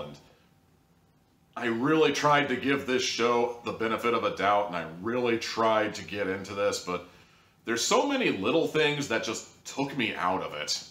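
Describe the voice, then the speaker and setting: A low-pitched man with a bright, forceful edge talks through a frank, meandering critique, leaning on "like" and "you know." He sits away from the mic in an echoey room. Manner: candid, rambling, full of "like" and "you know". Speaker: audio drama reviewer. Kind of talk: casual spoken review. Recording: roomy echo, voice distant from mic.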